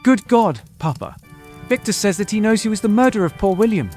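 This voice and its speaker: Earnest, distressed young gentleman. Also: high voice, big pitch swings, a little fast, soft background music. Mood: agitated. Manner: earnest, formal. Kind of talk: dramatized audiobook acting.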